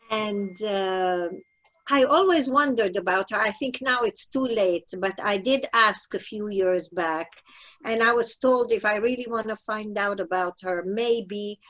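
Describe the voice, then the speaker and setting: An older woman from Iraq recalling her life in an unscripted discussion, animated, with hesitant phrasing. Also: medium pitch, highs cut off. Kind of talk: unscripted personal recollection in discussion.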